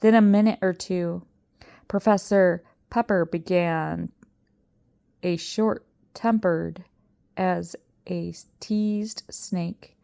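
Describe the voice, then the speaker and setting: An older woman narrates a children's story aloud. Her voice sits a little low and she takes her time. She reads dramatically, building suspense. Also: steady tone, drawn-out words, vocal fry, warm tone, clear, resonant tone. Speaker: storybook reader.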